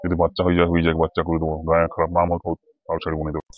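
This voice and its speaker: A man's voice: low-pitched, monotone, racing, no echo, narrow, tinny audio, natural voice. A man voicing a crude, hot-tempered, lustful village man, blustering coarsely in a comedy cartoon. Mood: lustful, irritated. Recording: music behind the voice. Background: music.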